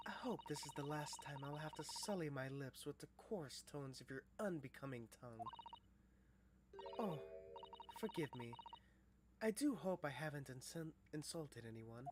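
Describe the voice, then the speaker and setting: A player putting on a haughty gentlewoman. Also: mid-range voice, expressive, moderate pace, background noise, lightly acted. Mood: prim. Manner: pompous and condescending. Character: haughty snobbish English gentlewoman witness. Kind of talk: reading game dialogue aloud with friends.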